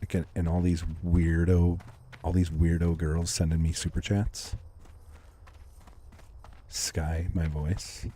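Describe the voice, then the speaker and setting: A man live-streaming a video game from home, talking to his chat in a soft, unhurried, calming voice and thanking viewers as they come in. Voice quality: calming low voice. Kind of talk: casual live-stream chat while gaming. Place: home room.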